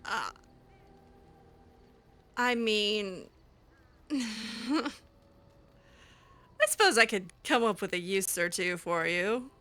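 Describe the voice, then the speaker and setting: A woman improvising in-character roleplay over a video game, speaking in a halting, stammering way. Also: medium pitch, bright, forceful tone. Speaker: roleplay gamer.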